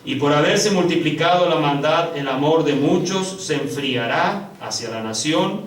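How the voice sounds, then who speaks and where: A man preaching a sermon to his congregation, calling them brothers and swinging from loud, high, drawn-out emphasis to hushed low passages. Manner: addresses congregation as brothers, slow drawn-out stresses. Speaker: pastor. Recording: echoey room, picked up from a distance.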